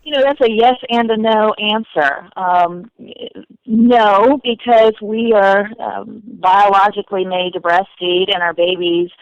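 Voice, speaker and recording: A woman expert guest answers interview questions in a measured, thoughtful way. Her mid-pitched voice sounds dull and lo-fi, with a dry quality. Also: steady tone, dry recording.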